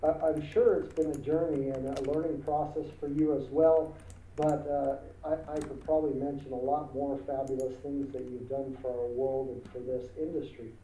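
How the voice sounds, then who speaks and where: A man hosting a podcast, talking in a halting way with stammered restarts and lots of fillers. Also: medium pitch, level tone, warm tone. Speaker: podcast host. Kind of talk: casual interview talk. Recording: muffled, roomy, distant with faint noise.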